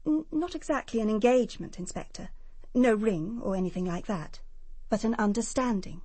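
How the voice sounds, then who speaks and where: An English actress playing a prim, self-assured nurse giving precise testimony in a British radio crime drama. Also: female voice, medium pitch, expressive, moderate pace, mellow, no echo, lightly acted. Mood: composed, defensive.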